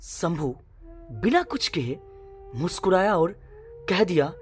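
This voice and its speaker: A woman tells a dramatic suspense story in a fairly low voice. Her pitch moves widely while she goes slowly, phrase by phrase, through the scripted narration. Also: big pitch swings, soft background music.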